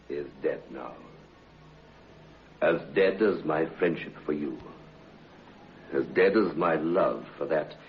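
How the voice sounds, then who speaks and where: A betrayed husband bent on revenge in a radio play. His voice is extremely deep and gravelly, halting and coldly menacing. The old recording is muffled. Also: exaggerated voice. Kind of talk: radio drama acting. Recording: muffled vintage radio, faint noise.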